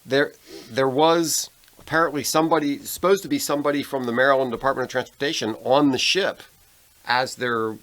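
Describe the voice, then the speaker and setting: A man's voice: mid-range voice, fairly even intonation, fairly quick, a bit bright. An American man co-hosting a podcast in casual conversation over a beer. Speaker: podcast co-host.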